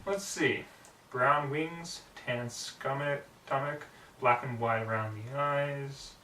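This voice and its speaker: A man voicing a brash, cocky young female pegasus pony from a story, hammy, blunt and excitable, in a roomy, distant recording. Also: mid-range voice, takes it slowly, a little hiss or hum. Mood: musing. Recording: roomy echo, distant microphone.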